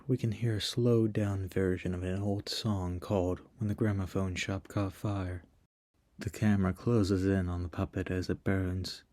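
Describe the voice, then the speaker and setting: Deep-voiced man narrating a review. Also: no room sound. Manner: measured, even storytelling delivery. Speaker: video essayist.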